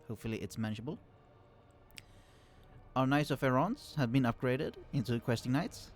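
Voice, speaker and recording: A man talks through a strategy game as he plays, explaining units and tactics in a loose, conversational way. He pauses often with fillers and restarts his sentences. His voice sits at an ordinary middle pitch and is recorded at a quiet level. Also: animated. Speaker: let's play host. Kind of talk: casual gameplay commentary. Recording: quiet voice, turned down to hide computer hum.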